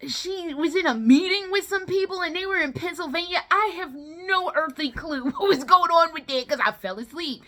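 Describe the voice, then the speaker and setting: A woman's voice: very high-pitched, moderate pace, few pauses, sharp tone. A tired woman giving a casual, rambling review in a vlog, blunt and freely profane as she talks. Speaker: video game and movie vlogger.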